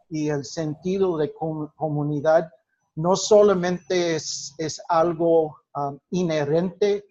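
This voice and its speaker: A man teaching a class, lecturing slowly and haltingly with frequent restarts and questions to his students in a classroom. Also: a little high, darkish tone. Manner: slow, halting delivery with many restarts, quizzing the class. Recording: dull lo-fi recording.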